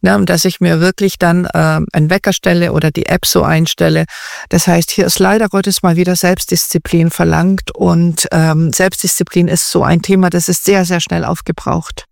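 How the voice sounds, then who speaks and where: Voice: mid-range voice, level tone, normal speed, creaky, no room sound, studio quality. An interview guest answering in a chatty way, thinking aloud with many fillers and restarts. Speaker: guest expert on AI.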